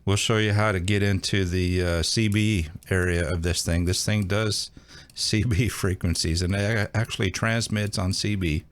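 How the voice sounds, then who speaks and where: A man's voice: deep voice, fairly even intonation, moderate pace. A man hosting a casual hands-on how-to, talking informally and thinking aloud as he demonstrates each step. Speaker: host of a radio how-to channel.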